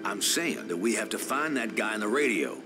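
A man's voice: lowish voice, expressive, fairly quick, over music. An actor playing a pragmatic, team-minded male survivor in a video game, informal and insistent. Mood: frustrated, insistent. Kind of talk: video game cutscene acting.

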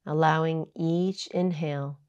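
A woman yoga teacher narrating a guided meditation in a soothing, measured, unhurried way. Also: low voice, steady tone, darkish tone, dull audio.

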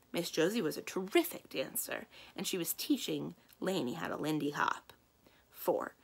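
A young woman reading a children's book aloud as a library storyteller, with measured, expressive narration. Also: fairly low pitch, gravelly, husky. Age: young adult.

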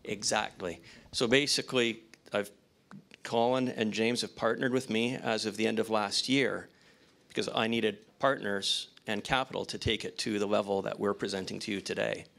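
Gravelly, bright-voiced man answering questions. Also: fairly low pitch, fairly even intonation, normal speed. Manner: rambling, restarts often. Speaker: startup founder pitching. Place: conference room. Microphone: handheld mic.

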